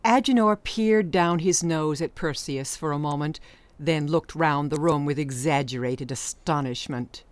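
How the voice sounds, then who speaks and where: A woman's voice: low-pitched, measured pace, no echo. A woman narrating an audiobook story in a scripted, dramatic storytelling style.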